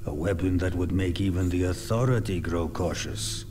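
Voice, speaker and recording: An actor playing an eccentric old male scientist in a video game, halting and muttering to himself with dark fascination. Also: deep voice, music in the background, lightly acted. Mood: intrigued, grave. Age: older. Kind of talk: dramatic video game character acting.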